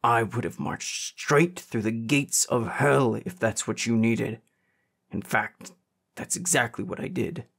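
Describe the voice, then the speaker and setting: A man's voice: medium pitch, unhurried, vocal fry, a bit bright, breathy edge, exaggerated voice. A man voicing an angry, hardened teenage boy, bitter and wounded, with a growling, demanding delivery in an audiobook. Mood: fierce, hurt.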